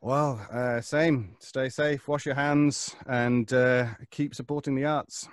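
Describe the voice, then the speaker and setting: A man with a medium-pitched voice talks in an informal, conversational way. He is in a small cupboard at home, so the sound is dry and close, without room echo. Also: fairly even intonation, slow pace. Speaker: podcast voice actor. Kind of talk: casual chat.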